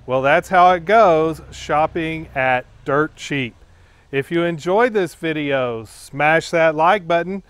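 A man in his fifties hosting a product review, speaking in measured, clearly enunciated scripted narration to camera. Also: a little high, fairly bright tone. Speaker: host of a product testing channel.

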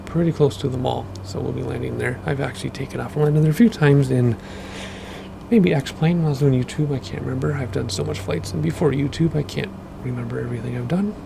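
A man, rambling casually through gameplay commentary with lots of fillers, his voice a bit hoarse from losing it. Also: mid-range voice, fairly even intonation, fast talker, noisy background. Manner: rambling, chatty, with many fillers. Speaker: flight sim gaming host.

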